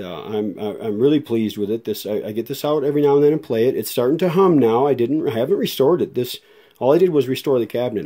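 A man casually narrating a hands-on demonstration as host, folksy and unhurried, thinking aloud as he goes. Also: medium pitch, dark tone. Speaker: video host and radio restorer.

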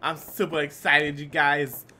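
A man hosting a gaming video with animated let's play commentary, talking to himself and to the characters on screen. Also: on the high side, a little slow. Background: video game music.

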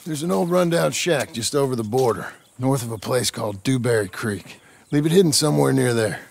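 Rough American game outlaw, eager schemer, fry-heavy voice, plain-spoken. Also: male voice, medium pitch, animated, fairly quick. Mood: businesslike. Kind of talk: video game character acting.

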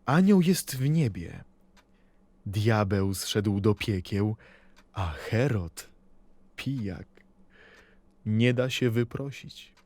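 A man playing a poet who tenderly recalls his childhood in a reflective, deliberate literary recitation for an audio play, with expressive pauses. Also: on the low side, no room sound, heavily acted. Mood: solemn, dramatic. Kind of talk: dramatic poetry and memoir recitation.

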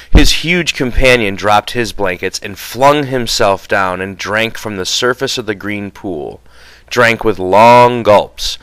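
A man's voice: lowish voice, a bit bright, clean tone, no echo, low-quality recording. A man reading a novel aloud as scripted audiobook narration. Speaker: audiobook reader.

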